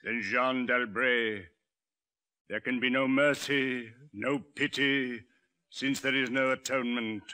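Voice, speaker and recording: A pitiless Inquisition judge, declaiming solemnly with a bright, forceful tone. The pompous delivery is very slow, in 1950s radio drama style. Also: male voice, medium pitch, steady tone, clear, resonant tone. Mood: stern, pitiless. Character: merciless, solemn Inquisition judge passing sentence.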